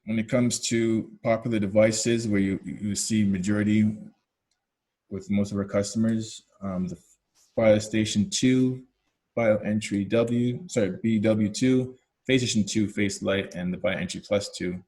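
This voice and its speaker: A man's voice: on the low side, fairly even intonation, a little slow, darkish tone. A man with a Canadian accent presenting a product webinar as a technical lead, explaining step by step with frequent fillers and self-corrections.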